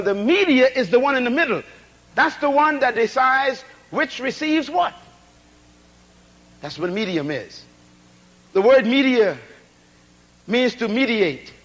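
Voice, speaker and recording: A man with a very high, lively voice preaches a sermon, rising and falling in pitch as he hammers his points. He repeats key phrases, throws out rhetorical questions and calls for responses from the congregation. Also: rough recording. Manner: call-and-response, rhetorical questions, emphatic repetition; swings between shouted emphasis and low asides.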